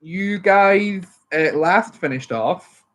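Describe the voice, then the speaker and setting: A man running a tabletop game as game master, loud and chatty with frequent laughs. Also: sits high, takes it slowly, a little hiss or hum.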